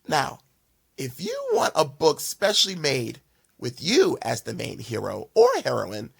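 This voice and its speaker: A man reads a children's story as its host, with very lively, swooping intonation. His fairly high voice has a gravelly edge and a dramatic, expressive delivery. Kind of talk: animated storybook narration.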